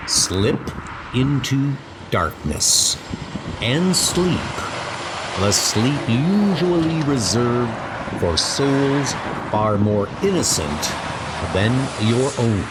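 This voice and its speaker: A man's voice: mid-range voice, expressive, very slow, very noisy. A man narrating a comic book story in a dramatic, scripted style. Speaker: narrator and voice performer.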